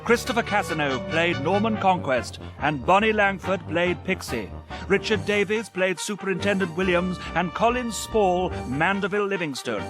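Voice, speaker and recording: High-pitched male radio announcer. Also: normal speed. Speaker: closing credits announcer. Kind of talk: scripted radio drama credits announcement. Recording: music behind the announcement.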